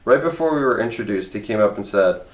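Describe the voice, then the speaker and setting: A man's voice: fairly low pitch, expressive, fast. A man reading a comic memoir aloud in a wry, conversational audiobook style. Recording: roomy, echoey voice recording.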